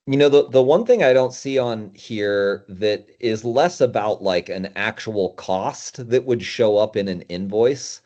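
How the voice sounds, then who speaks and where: A man's voice: on the low side, a little slow, hardly any pauses, dark tone. A man hosting a casual discussion in a conversational style. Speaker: podcast host. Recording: dull, lo-fi audio.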